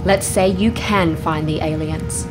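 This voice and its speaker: A woman's voice: low voice, a little slow, rough recording. Sceptical army lieutenant woman, blunt, argumentative, radio play w music. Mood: challenging. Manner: challenging, blunt. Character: combative, sceptical female military lieutenant. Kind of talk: audio drama acting.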